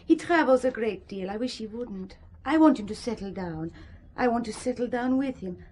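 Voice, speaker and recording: An actress playing a frank, earnest young German woman with a German accent, speaking deliberately in an audio drama. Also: a little high, animated, darkish tone. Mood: wistful, frank.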